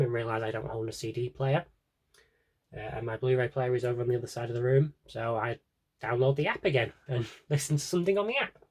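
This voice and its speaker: Animated young British man, slightly husky. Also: medium pitch. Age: young adult. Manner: dry, self-deprecating, rambling asides. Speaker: video creator. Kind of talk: casual, chatty collection show-and-tell.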